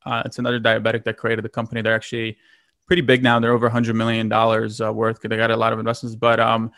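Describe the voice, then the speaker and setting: A man's voice: on the low side, completely flat delivery, fast talker, fairly bright tone, no echo, clean studio sound. A man in his late twenties from Lithuania, telling his story in a casual conversation, rambling with many fillers and restarts. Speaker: guest.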